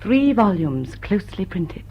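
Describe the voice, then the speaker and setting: An actress playing an enigmatic, teasing society widow in a period drawing-room drama, coolly witty and playful. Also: female voice, medium pitch, big pitch swings, unhurried, husky. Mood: wry. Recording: dull, muffled audio.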